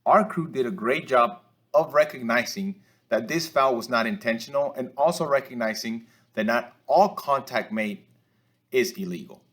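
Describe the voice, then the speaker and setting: A man delivers instructional commentary over game footage. His mid-pitched voice is measured and explanatory, with small hesitations as he works through each point like a coach teaching officials. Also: fairly bright tone. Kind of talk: instructional film-review commentary.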